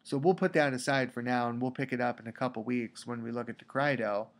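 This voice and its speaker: A man with a cold, sounding congested, giving a recorded lecture as a teacher, talking deliberately with frequent restarts. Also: mid-range voice, steady tone. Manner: slow, deliberate, with repeated restarts. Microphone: computer mic for a screen recording.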